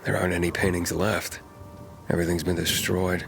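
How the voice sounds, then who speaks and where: Low-voiced wry male scavenger. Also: level tone, a little fast, creaky, music in the background. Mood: searching. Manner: casual, wry, swearing. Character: young male scavenger explorer, casual, wry, rough-edged. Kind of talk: video game dialogue.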